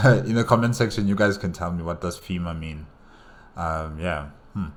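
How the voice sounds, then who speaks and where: A man's voice: sits low, steady tone, normal speed, background noise. A man giving casual reaction commentary, thinking aloud in a hesitant way. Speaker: reaction video host.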